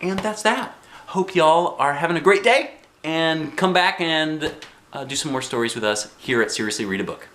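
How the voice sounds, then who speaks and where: A man reading a children's book aloud in an expressive, folksy way, with chatty asides. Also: on the high side, normal speed, background noise. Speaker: children's book reader and channel host. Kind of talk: animated read-aloud storytelling for children. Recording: roomy home recording.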